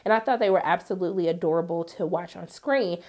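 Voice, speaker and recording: A young woman reviewing a film in a sassy, animated way, her voice strained from being sick. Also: fast. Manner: sassy, animated, jumps high for quoted asides. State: sick, voice partly lost. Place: indoor studio, graphic backdrop.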